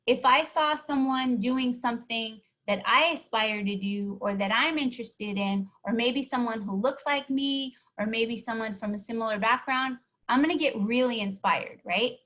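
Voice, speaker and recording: A woman's voice: a little high, normal speed, few pauses, a bit bright. Encouraging woman leading a workshop. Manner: encouraging, keeps asking "right?". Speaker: workshop presenter. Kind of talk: teaching a live online workshop.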